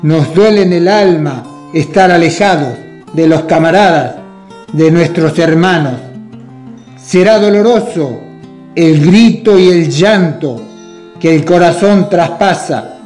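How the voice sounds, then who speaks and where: A man with a notably high voice recites verse at a very slow pace. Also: darkish tone. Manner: slow, measured, solemn. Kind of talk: solemn poetry recitation. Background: plucked guitar music.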